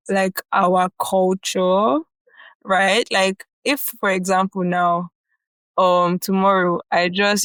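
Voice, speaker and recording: A young Nigerian woman with a Nigerian accent, co-hosting a podcast in a chatty, animated way, often laughing and filling with "like" and "you know". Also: mid-range voice, clear, resonant tone, no room sound. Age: young adult. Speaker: podcast co-host. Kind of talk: casual chat.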